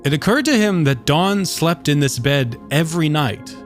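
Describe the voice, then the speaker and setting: A man's voice: a little high, animated, moderate pace. A man reading scripted fiction as the narrator of a story. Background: soft guitar music.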